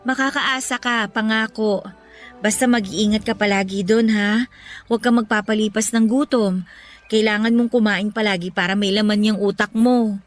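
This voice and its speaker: A woman playing a caring, level-headed young girlfriend in a Filipino radio romance, gentle and reassuring. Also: mid-range voice, steady tone, normal speed, soft background music, lightly acted. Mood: caring, fond. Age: young adult. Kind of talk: Tagalog radio romance drama acting.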